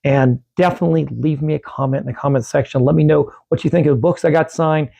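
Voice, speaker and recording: Middle-aged guy rambling about comics in his vlog, raspy losing-his-voice sound, kinda higher pitched, dry close mic. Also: fast talker, mellow, no room sound, muffled recording. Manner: rambling, self-correcting, friendly sign-offs. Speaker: comic book collecting YouTuber. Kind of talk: casual vlog talk to camera.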